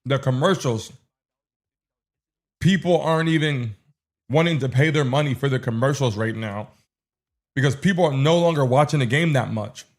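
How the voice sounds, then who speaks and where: A man's voice: medium pitch, moderate pace, pauses often. A man hosting a live stream, insistently repeating instructions to his viewers. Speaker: livestream show host.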